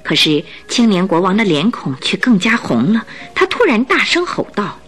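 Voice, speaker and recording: A woman's voice: mid-range voice, big pitch swings, moderate pace, a bit bright, slightly rough, soft background music. A woman narrating a radio drama in a scripted storytelling style.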